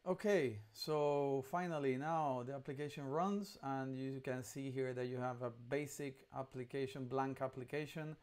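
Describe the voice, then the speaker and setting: Clear-voiced young man giving a casual tutorial. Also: mid-range voice, moderate pace, hardly any pauses, darkish tone. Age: young adult. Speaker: instructor. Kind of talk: casual screencast tutorial narration. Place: indoor room at a computer.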